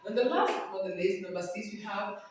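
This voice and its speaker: A Nigerian woman teaching a French lesson to learners, repeating each phrase slowly for them to copy. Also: mid-range voice, expressive, slight background noise. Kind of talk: classroom-style language lesson. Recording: very echoey room, speaker far from the mic.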